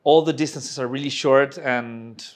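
A man's voice: medium pitch, fairly even intonation, a little slow. A Chilean man in his forties, a guest chatting casually in an interview, hesitant, often restarting his phrases, with dry humor. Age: 40s.

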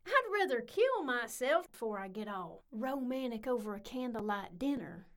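A woman as a brash young woman, high animated voice, slangy, scoffing, defensive, audio comedy. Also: moderate pace. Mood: scornful, defensive. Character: brash, defensive, foul-mouthed working-class young woman. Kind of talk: comedic audio drama acting.

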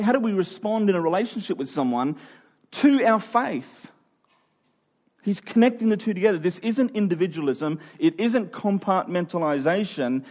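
A man in his forties preaching a sermon, earnest and emphatic, sometimes dropping to a quiet voice. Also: high voice. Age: 40s. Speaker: pastor.

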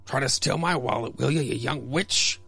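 A big tavern bully , acted by a man, threatens bluntly. His voice is gravelly and mid-pitched, menacing in radio-play style. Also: moderate pace, pushed and bright, no room sound, soft background music, full character voice. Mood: threatening.

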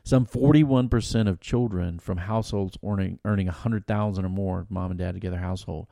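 A middle-aged American man with a projecting voice, co-hosting a podcast in a casual, colloquial, animated storytelling way. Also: low-pitched, brisk, gravelly, no room sound, clean studio sound. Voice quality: loud, projecting voice. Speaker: podcast host. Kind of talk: casual conversational podcast discussion.

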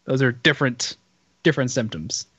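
A hoarse man with a raspy voice, chatting as a podcast co-host. He rambles and restarts his sentences as he tells a story. The sound is a bit dull and lo-fi, and the room is dry. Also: medium pitch, expressive, normal speed, fairly bright tone, no room sound. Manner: rambling, self-interrupting storyteller. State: hoarse, recovering from illness. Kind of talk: casual banter.

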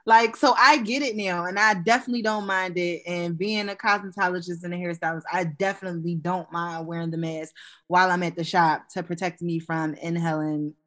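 A woman co-hosts a relaxed podcast conversation. She is animated and laughs easily, with bursts of exclamation between stretches of steady explaining. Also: lowish voice, brisk. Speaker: co-host. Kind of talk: casual podcast chat.

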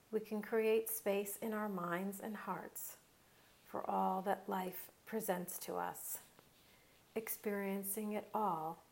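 A woman leading a guided meditation in a soothing, unhurried way, leaving long pauses between short phrases. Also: mid-range voice, slightly rough.

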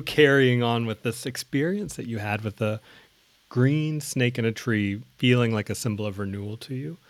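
A man hosting a casual conversation, speaking in a relaxed, easygoing and fluent way. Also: medium pitch, no room sound.

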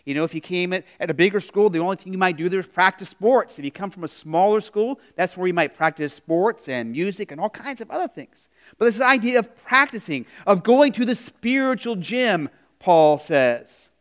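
A man's voice: sits high, a bit bright, clean tone. A male pastor giving a sermon in a conversational way, often saying "you know", restarting phrases and asking the congregation questions.

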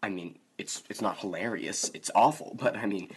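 A man playing a chatty young friend in a radio soap, gossipy and quick. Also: on the low side, breathy edge.

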